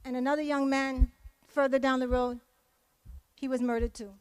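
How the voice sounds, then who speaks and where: A woman's voice: high-pitched, flat delivery, moderate pace, smooth, no room sound. A woman giving an impassioned, emphatic speech at a community event, repeating phrases for stress. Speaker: community member giving testimony.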